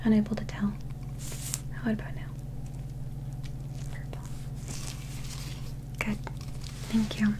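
A young woman whispering gently and unhurriedly in an ASMR medical roleplay, playing an examiner close to the microphone. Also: medium pitch, completely flat delivery, takes it slowly, long pauses, poor audio. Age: young adult. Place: small indoor set.

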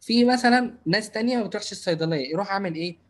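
A man with an Egyptian Arabic accent teaching marketing in a live online class over a video call, talking fast with many drawn-out fillers and frequent check-ins. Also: high voice. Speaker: marketing instructor. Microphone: computer mic on video call.

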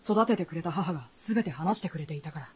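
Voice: mid-range voice, animated, fast, husky. An actor playing a proud, guarded teenage boy with blunt, curt speech in a period fantasy radio drama. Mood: sullen, matter-of-fact.

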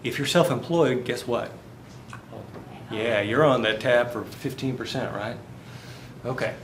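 A man's voice: medium pitch, unhurried. A man in his fifties giving a live university finance lecture, chatty, asking the class questions and answering them himself. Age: 50s. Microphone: far camera, no visible mic. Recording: roomy classroom, faint background noise.

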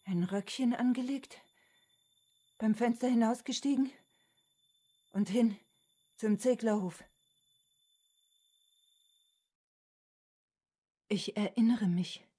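Radio drama casting: haunted Viennese household cook, husky mellow voice, halting delivery. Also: female voice, fairly high pitch. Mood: haunted recollection. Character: traumatised, subdued female household cook in 1920s Vienna. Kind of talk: German radio drama acting.

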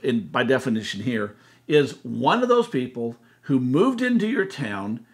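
A man's voice: medium pitch, very animated, a little slow. A man in his sixties with an American accent, explaining things conversationally and emphatically to a co-host and camera, often repeating phrases for stress. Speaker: church consultant and co-host. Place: indoor room, seated beside co-host.

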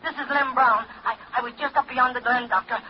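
An actor playing a frightened, stammering farmer over a telephone in a 1940s radio drama. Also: male voice, very high-pitched, fairly even intonation, brisk. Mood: nervous. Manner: stammering, folksy. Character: frightened, simple backwoods farmer. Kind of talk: 1940s radio horror acting. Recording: noisy, muffled 1940s radio recording.

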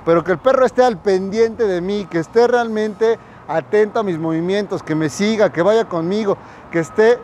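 A man's voice: sits high, darkish tone, slight background noise. A middle-aged man training a dog on a residential street, speaking directly to viewers in an instructive way, on a lapel mic as he walks. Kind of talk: outdoor training tutorial.

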